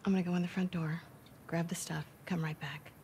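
A blunt young soldier woman from a video game cutscene, acted by a woman, speaking in short, firm lines. Her voice sits a bit low and stays guarded and hard, even when she talks quietly to a friend. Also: a little fast, slight background noise, close to an everyday voice. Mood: curt. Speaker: game character.

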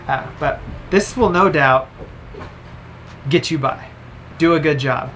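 A man's voice: fairly high pitch, takes it slowly, fairly bright tone, a little hiss or hum. A man in his thirties casually reviewing camera gear to the camera, chatty and upbeat, explaining as he handles each item in a boat cabin. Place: cabin of a sailboat, indoors.

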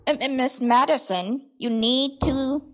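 Woman doing a gentle fussy housekeeper, high voice, slow and lilting, lo-fi. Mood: coaxing. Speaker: housekeeper character. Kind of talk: audio drama acting.

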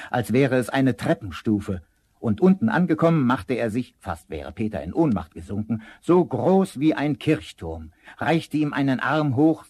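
A man's voice: mid-range voice, darkish tone, husky, no echo. A man narrating a fairy tale as a scripted storyteller for a radio play.

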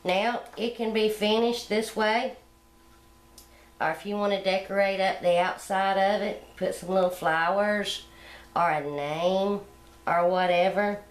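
A middle-aged woman hosting a cooking channel, explaining step by step how to decorate a sugar egg at home in a relaxed, homey way. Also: medium pitch, a little slow, reverberant. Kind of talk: hands-on decorating tutorial.

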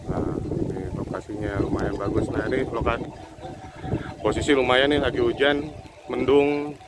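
A man's voice: mid-range voice, fairly even intonation, moderate pace. A man with a Jakarta-area Indonesian accent chatting casually as he shows viewers around an open-air cafe. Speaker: vlogger. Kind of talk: casual vlog chat, showing place and menu to viewers. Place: open-sided hilltop cafe. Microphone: handheld phone camera. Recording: noisy handheld phone video with birdsong. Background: birds, ambient noise, friends chatting.

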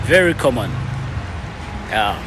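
A man's voice: mid-range voice, slow pace, music in the background. A young man from Rwanda, chatting casually as a vlogger while filming himself on a phone in city streets. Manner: relaxed, chatty, mixes English with simple Mandarin. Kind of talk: casual vlog narration and street chat. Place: city streets, a drink shop and a plaza at night. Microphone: phone at arm's length.

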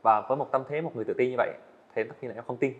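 Chàng trai trẻ nói nhanh, giọng hơi đục. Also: male voice, medium pitch, highs cut off. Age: young adult. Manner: earnest, fast-talking, frequent small restarts. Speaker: student animator guest. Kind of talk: casual interview answers. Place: indoor studio set.

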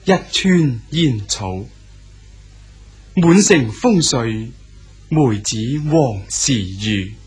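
An actor playing a friendly scholar guest in a Cantonese radio drama, coaxing and cheerful. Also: male voice, mid-range voice, expressive, extremely slow, pauses often, slightly rough, faint music behind, heavily acted. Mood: recitative.

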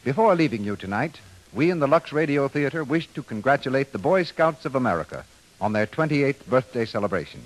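A man's voice: medium pitch, animated, fairly quick, rough recording. Formal 1930s male radio announcer.

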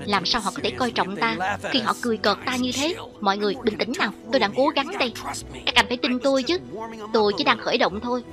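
A woman's voice: on the high side, fast. A woman reading a scripted cartoon voice-over translation, speaking for every character herself. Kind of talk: scripted cartoon dub narration, one reader voicing every character over the original. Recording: loud film soundtrack underneath. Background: original cartoon audio and music.

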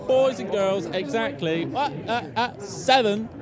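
A man's voice: sits high, measured pace. An actor playing a brash quack hawker disguised as an old woman, giving showy sales patter in an audio drama. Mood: showy, boastful. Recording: busy outdoor crowd behind.

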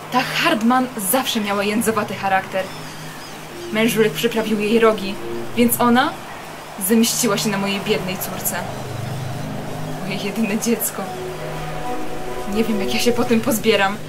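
A proud, bereaved middle-aged mother, played by a woman in a radio drama. Her voice is medium-pitched and theatrical, with music clearly behind it. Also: steady tone, a little slow, pauses often, lightly acted. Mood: bitter, mournful. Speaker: amateur radio drama actor. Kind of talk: radio play acting.

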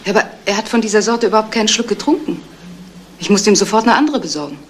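An actress playing a self-possessed, twice-divorced fiancée under suspicion in a 1970s radio crime play, speaking in a composed, matter-of-fact way. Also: female voice, medium pitch, lively intonation, quick pace, lightly acted. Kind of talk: 1970s German radio crime drama acting. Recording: roomy, distant, noisy old radio recording.

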